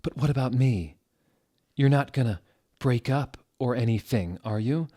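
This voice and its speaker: A man's voice: medium pitch, unhurried, warm tone, voice pushed up, lightly acted. A man voicing an anxious, lovesick teenage vampire boy in a plaintive, melodramatic reading. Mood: pleading, concerned.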